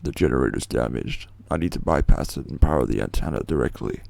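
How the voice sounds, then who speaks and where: A man playing a grim, terse masked vigilante with a gravelly voice in a video game fan dub. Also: quick pace, darkish tone, no echo, exaggerated voice. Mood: grim, focused. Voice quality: very deep, gravelly.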